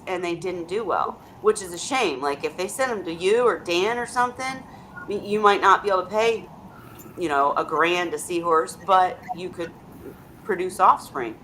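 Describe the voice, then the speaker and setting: A woman's voice: on the low side, a bit bright, slight background noise, rough recording. A woman hosting a casual group video chat. She talks in a chatty way, asks lots of questions and often repeats her words as she starts. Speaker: host of a live video chat.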